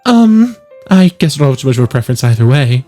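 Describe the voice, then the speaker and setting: A man's voice: mid-range voice, very animated, quick pace, mellow, faint music behind, close to an everyday voice. A man voicing a shy, flustered, bookish teenage schoolgirl from a visual novel, hesitant and easily embarrassed.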